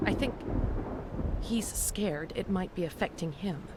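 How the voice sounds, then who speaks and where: A woman's voice: on the low side, unhurried, heavy background noise, lightly acted. An actress playing a frightened, pleading neurospecialist in a video game, earnest and anxious as she explains herself. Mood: uneasy, explaining. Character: frightened, pleading female neurospecialist working for a villain. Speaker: scientist character. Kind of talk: dramatic video game acting.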